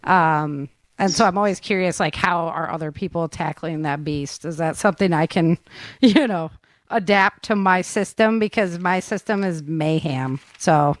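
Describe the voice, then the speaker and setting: A woman's voice: fairly low pitch, normal speed, no room sound. A woman hosting a podcast in casual chat, informal and slangy, chiming in freely. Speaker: podcast host.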